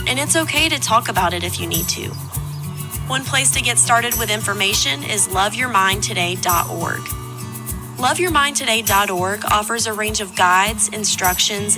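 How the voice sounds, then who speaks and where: A woman reading a scripted public service message in a plain-spoken, reassuring way. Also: mid-range voice.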